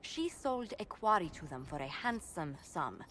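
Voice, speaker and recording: An actress playing a poised ambassador and court advisor who speaks formally and politely in a fantasy video game. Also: female voice, deep voice, big pitch swings, measured pace, fairly bright tone, slight background noise, heavily acted. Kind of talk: dramatic video game acting.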